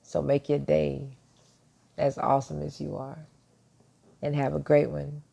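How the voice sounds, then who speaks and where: A man hosting a casual motivational podcast monologue. He talks in a soft, deep voice, quietly so as not to wake his neighbors, and sounds self-assured and reflective, rambling with restarts. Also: completely flat delivery, measured pace, creaky, husky, muffled recording. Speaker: podcast host. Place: at home, early morning.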